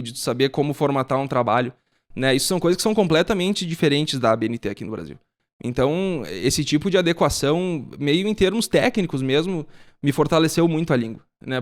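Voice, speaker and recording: A young man from Brazil, a podcast guest explaining things in casual chat and often ending with a tag question. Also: on the high side.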